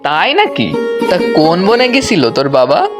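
A bold village boy, ringleader of his friends in a children's cartoon played by a man. His pitch swings in a lively way over clear music. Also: unhurried. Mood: casual, bold.

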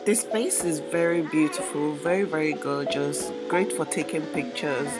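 Low-pitched Nigerian woman vlogger. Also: moderate pace. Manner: chatty, informal. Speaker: vlogger, host. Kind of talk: casual vlog narration and chat. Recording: loud background music. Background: music.

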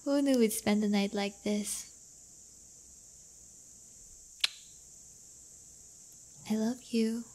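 A woman's voice: medium pitch, warm tone, lightly acted. A woman playing a playful, crafty but affectionate teenage delinquent girlfriend in an intimate roleplay audio, teasing and coaxing. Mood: tender teasing. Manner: teasing, coaxing, drawn-out delivery.

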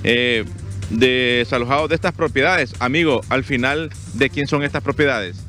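A man reports live from a roadside, bright and forward in tone. He halts often with fillers as he speaks into a handheld mic, faint music running underneath. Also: mid-range voice, moderate pace. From Honduras. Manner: hesitant, many fillers. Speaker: field reporter. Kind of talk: live news field report. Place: outdoors on a roadside.

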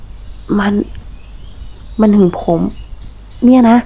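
A woman reading a blunt, bewildered young man. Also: very slow. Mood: stunned. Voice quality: nasal. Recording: muffled, distant home mic.

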